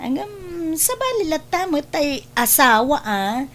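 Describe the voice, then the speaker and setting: Comedy drama role, female actor: sharp-tongued Ilocano woman, high voice, very varied intonation, exclamatory and teasing. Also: sing-song, unhurried, a little hiss or hum, heavily acted.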